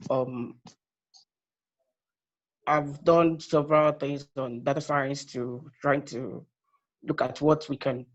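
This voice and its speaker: A man from Nigeria introducing himself haltingly as a prospective graduate student on a video call. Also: medium pitch. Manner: hesitant, halting with many fillers. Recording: muffled video call audio.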